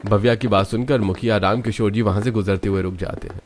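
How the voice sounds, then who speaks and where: Low-pitched male story narrator. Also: steady tone, fast, a little mellow.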